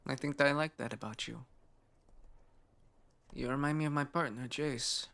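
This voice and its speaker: A man voicing a driven, earnest young male scientist of poor roots in an intimate ASMR roleplay monologue, musing in measured phrases with thoughtful pauses. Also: mid-range voice, steady tone, gravelly, fairly bright tone, voice pushed up. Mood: intense, musing.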